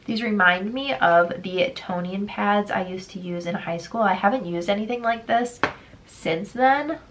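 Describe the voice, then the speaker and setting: A woman's voice: mid-range voice, a little slow. Congested young female beauty vlogger, chatty and candid, faint background hiss. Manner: chatty, candid, reads product blurbs aloud. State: congested, sick. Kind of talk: casual product try-on chat.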